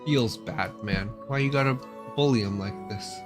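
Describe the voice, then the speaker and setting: A woman's voice: a bass voice, moderate pace. A woman giving informal, joking playthrough commentary on a video game. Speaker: game streamer. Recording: game music behind the voice.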